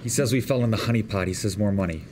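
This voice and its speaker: A man's voice: on the low side, very fast, a little mellow, close to an everyday voice. Man playing a brash young skater punk. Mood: defiant. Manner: slangy, brash slacker delivery. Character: cocky young male skateboarder scammer, slangy and belligerent. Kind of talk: table read acting. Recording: noisy table read room.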